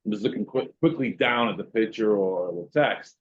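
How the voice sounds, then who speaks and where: A man asking questions in an online meeting, with a loud voice and many fillers and pauses. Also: on the low side, lo-fi sound. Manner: loud voice, talks with hesitations and fillers. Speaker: workshop participant.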